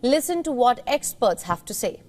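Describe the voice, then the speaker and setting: A woman news anchor reading a scripted introduction, crisp and formal. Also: fairly high pitch, very animated, moderate pace, long reverb tail.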